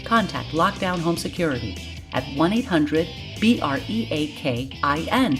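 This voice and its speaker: A woman's voice: low-pitched, expressive, normal speed, music in the background. A woman acting an earnest customer in a scripted radio advertisement. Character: security-conscious female customer endorsing home protection.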